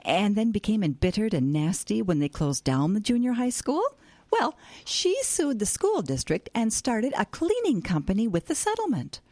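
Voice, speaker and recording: A woman's voice: mid-range voice, big pitch swings, normal speed, mellow, no room sound. An actress playing an airy, stubborn older hippie grandmother with a wry, theatrical manner in an audio comedy drama. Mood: cheerfully unbothered.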